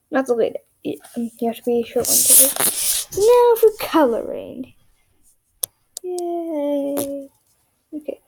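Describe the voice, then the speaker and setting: A young woman talks through a drawing tutorial in a flat, rambling monotone, often half-whispering while she draws. Her voice sits high but has a mellow, gravelly edge. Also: rough recording. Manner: monotone, rambling, self-deprecating. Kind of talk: casual drawing tutorial narration.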